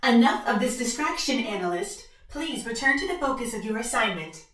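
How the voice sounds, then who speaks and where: Echoing, formal computer overseer. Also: female voice, on the high side, animated, normal speed, a bit bright. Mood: stern, impersonal. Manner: formal, clipped announcements. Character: stern, formal female facility computer overseer. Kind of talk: audio drama acting. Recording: echoey, reverberant voice.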